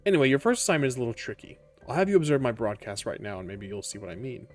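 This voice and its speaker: A man voicing a brisk community radio broadcaster briefing new interns in a tabletop roleplaying game. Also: mid-range voice, big pitch swings, faint music behind, lightly acted. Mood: brisk, matter-of-fact. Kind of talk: scripted in-game narration.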